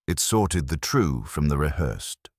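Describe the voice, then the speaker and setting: Man, audiobook narrator, very deep register, raspy edge, lively intonation, scripted first-person detective fiction. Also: unhurried, no room sound, studio quality.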